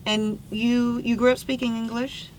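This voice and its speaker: A woman's voice: a little high, flat delivery, normal speed, a little hiss or hum, poor audio. A woman hosting a podcast who asks curious, prompting questions and answers with short affirmations. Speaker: podcast host. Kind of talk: casual interview chat.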